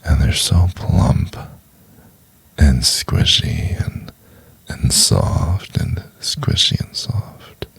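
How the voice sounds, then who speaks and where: Very deep, gravelly seductive professor played by a man. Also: no echo, exaggerated voice. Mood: intimate, adoring. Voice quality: breathy, gravelly, very low, often near whisper.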